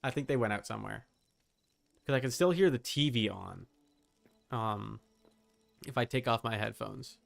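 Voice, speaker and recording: A young adult man chatting casually as he streams a game, rambling and halting with repeated words. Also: mid-range voice, gravelly. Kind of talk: casual livestream chat while gaming.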